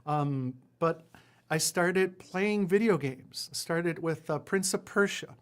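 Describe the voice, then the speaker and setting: Casting: fairly high-pitched man, forties, Spanish first language, rambling and self-deprecating. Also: slow pace. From Puerto Rico. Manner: rambling storyteller with frequent fillers and self-deprecating asides. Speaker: guest, lawyer and entrepreneur. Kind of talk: casual interview chat.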